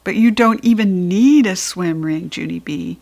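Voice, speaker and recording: A woman's voice: mid-range voice, measured pace, vocal fry, a little mellow. A sensible father in a children's storybook, as a woman reader puts him on. He corrects his little girl plainly, with a matter-of-fact, reproving manner. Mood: reasoning.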